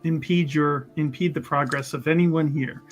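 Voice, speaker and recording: A man's voice: fairly high pitch, steady tone, normal speed, natural voice. A man voicing a slippery, deferential student in a tabletop game, polite, hesitant and placating.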